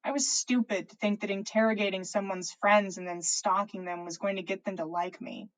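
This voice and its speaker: A woman's voice: medium pitch, fairly even intonation, a little fast, close to an everyday voice, poor audio. A woman reading a story and voicing an earnest, confused, lovestruck teenage witch with a pleading, questioning manner. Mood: earnest, confessional.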